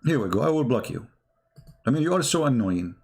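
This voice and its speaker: Arabic accented guy ranting on a livestream, creaky voice, blunt and combative, broken english. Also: medium pitch, sing-song. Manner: blunt, combative, halting non-native English. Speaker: livestream host, religious polemicist. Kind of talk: casual livestream monologue answering chat comments.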